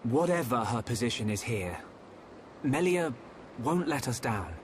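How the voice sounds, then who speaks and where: An earnest young male hero from a fantasy game. He sounds sincere and trusting, with a mid-pitched, very lively delivery over faint ambience. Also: unhurried, slight background noise. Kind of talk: video game cutscene acting.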